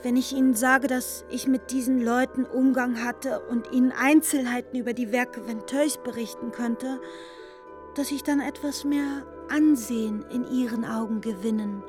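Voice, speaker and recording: An actress playing an evasive, wounded young woman in a literary radio drama, halting and confiding, at times dropping toward a whisper. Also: on the high side, steady tone, music in the background, natural voice. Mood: defensive, hurt.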